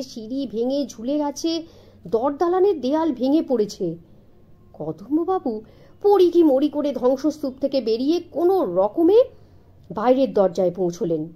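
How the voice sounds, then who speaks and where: A woman narrating a children's story with dramatic expression and deliberate pauses. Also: high-pitched, dark tone.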